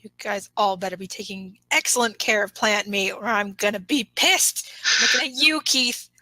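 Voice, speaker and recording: A woman's voice: medium pitch, moderate pace, sharp tone, no room sound, voice pushed down, lightly acted, low-quality recording. A woman voicing a hot-headed, indignant teenage boy in a fan fiction drama, speaking bluntly.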